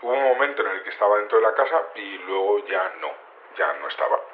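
An actor playing a matter-of-fact security investigator, explaining hesitantly over a phone-like radio link. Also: male voice, on the low side, animated, fast, lightly acted. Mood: hesitant, earnest. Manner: hesitant, stumbling, earnest explaining. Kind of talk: news phone interview in audio fiction. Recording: roomy, narrow radio or phone line.

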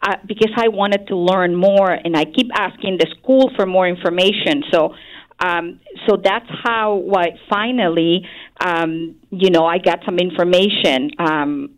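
A woman with a Chilean Spanish accent answers on a radio interview. Her voice sits a little low, and she speaks haltingly, with many pauses and fillers as she looks for the right words. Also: poor audio. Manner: hesitant, searching for words, frequent um and uh.

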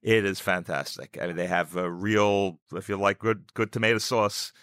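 A man with a New York accent chatting casually as an interview guest, halting and often repeating his words. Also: lowish voice, fairly even intonation, a bit bright, no echo, studio quality. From New York City, United States. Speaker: podcast guest.

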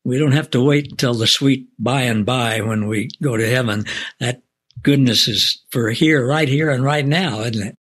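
Husky-voiced man hosting a faith show. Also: medium pitch. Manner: folksy, drawn-out, warm asides. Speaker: host.

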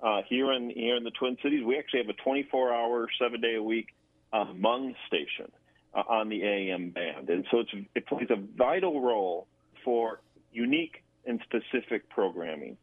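A man speaking as an interview guest over a phone, explaining in a halting way and repeating words. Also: mid-range voice, expressive, no echo. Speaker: guest, government affairs director. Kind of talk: explanatory radio interview talk. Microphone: phone call. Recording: narrow tinny telephone line.

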